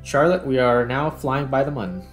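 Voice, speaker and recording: A man's voice: mid-range voice, expressive, moderate pace. A man hosting a gaming video, thinking aloud in casual commentary as he plays. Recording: music behind the voice. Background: background music.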